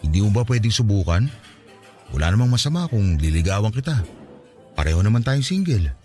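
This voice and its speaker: A man's voice: lowish voice, very animated, a little fast, warm tone, soft background music. An actor with a Filipino accent playing an earnest, lovestruck young man pleading his case in a radio drama. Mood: earnest confession.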